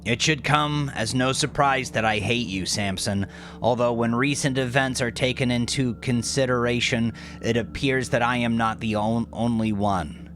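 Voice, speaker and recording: A man voicing a gangster henchman who haltingly declaims a venomous, gloating letter in an audio drama. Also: mid-range voice, steady tone, fairly bright tone, faint music behind, pitched above the performer's own voice, close to an everyday voice. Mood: contemptuous, declamatory.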